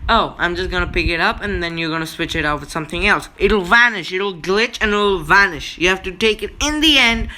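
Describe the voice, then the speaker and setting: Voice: mid-range voice, brisk, few pauses, bright, forceful tone, low-quality recording. A gaming video host giving a casual walkthrough, explaining emphatically with repeats and frequent check-ins. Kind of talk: casual gameplay walkthrough commentary.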